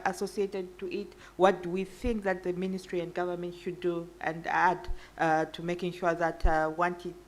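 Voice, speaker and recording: A woman speaking as a panelist in a discussion, with frequent restarts and fillers. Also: on the low side. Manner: hesitant, many restarts and fillers.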